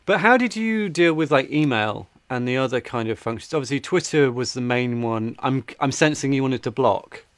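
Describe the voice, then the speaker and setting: A man's voice: mid-range voice, lively intonation, moderate pace, hardly any pauses, a bit bright, no room sound. A man, co-hosting a podcast, asking questions and teasing his co-host. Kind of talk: casual chat. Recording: dry close mic.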